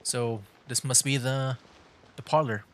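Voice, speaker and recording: A man livestreaming a game with casual, rambling commentary, halting and full of fillers. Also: medium pitch, fairly even intonation, a little hiss or hum. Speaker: livestreaming gamer.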